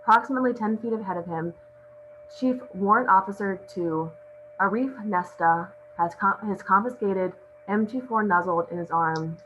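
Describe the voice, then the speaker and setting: A woman's voice: mid-range voice, normal speed, fairly bright tone. A woman reading out stage directions as scripted narration at a table read. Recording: muffled audio with clear hum.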